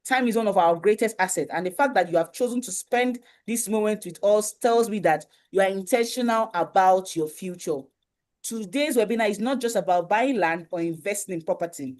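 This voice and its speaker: A woman's voice: mid-range voice, a little fast, a little mellow. A woman hosts an online webinar over a video call. She speaks in a welcoming, repetitive way, often checking that listeners follow along. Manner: welcoming, repeats "you understand" often. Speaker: webinar host.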